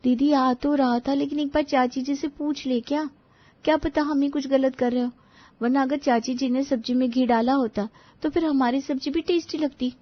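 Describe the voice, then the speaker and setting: Naive young daughter-in-law for a cartoon family tale, female actor, very high eager voice, dry recording. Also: fairly even intonation, normal speed, no echo, muffled recording, close to an everyday voice. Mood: puzzled. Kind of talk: cartoon story dialogue.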